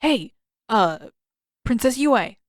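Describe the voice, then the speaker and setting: A woman's voice: fairly high pitch, very animated, takes it slowly, no room sound, clean studio sound, pitched above the performer's own voice. Woman voicing an awkward, eager teenage boy. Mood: awkward, apologetic. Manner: casual, hesitant, blurting.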